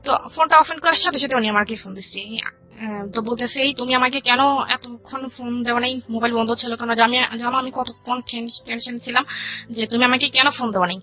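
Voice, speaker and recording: High-pitched Bangladeshi woman, dull recording. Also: fairly even intonation, fast talker. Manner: recounts dialogue in detail, quoting both sides. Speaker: guest telling her life story. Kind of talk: conversational personal storytelling. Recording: dull lo-fi radio audio with music. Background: background music.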